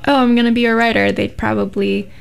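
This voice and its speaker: A woman's voice: fairly high pitch, level tone, quick pace, gravelly, clear, resonant tone. A woman interviewing a guest, curious and encouraging as she asks her questions. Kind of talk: casual interview conversation.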